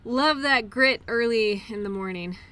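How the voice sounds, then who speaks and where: A woman's voice: fairly high pitch, measured pace, sharp tone. A woman playing an affectionate, playful girlfriend in a roleplay audio, talking breezily as if to her partner. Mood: playful, tired. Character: tired, playful, caring girlfriend at a mud race. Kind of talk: intimate roleplay audio acting, one-sided conversation.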